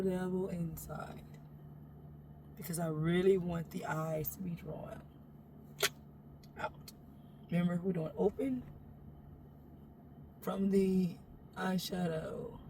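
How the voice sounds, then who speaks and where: A woman with a deep voice chatting through a makeup tutorial inside a parked SUV, playful and sassy, calling her viewers baby. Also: noisy background. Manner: sassy, playful, talks straight to the viewer and calls them baby. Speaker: makeup vlogger.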